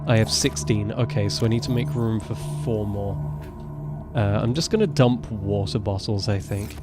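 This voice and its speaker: A man in his twenties with a naturally deep voice, rambling casually as he streams a game live. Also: moderate pace, loud music behind the voice. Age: 20s. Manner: chatty, rambling, self-correcting. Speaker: gaming streamer. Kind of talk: casual live gameplay commentary.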